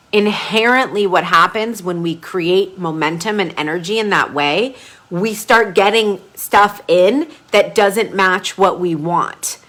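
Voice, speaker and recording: A woman brand coach leading a live group coaching session. She talks casually and chattily, with sudden gushing bursts of excitement. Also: mid-range voice. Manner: casual, chatty, with frequent fillers.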